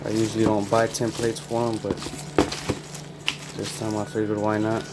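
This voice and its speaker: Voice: mid-range voice, completely flat delivery, moderate pace, heavy background noise. A person narrating an unboxing in a casual, laid-back, informal way. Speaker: unboxing video host. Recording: loud music behind the voice.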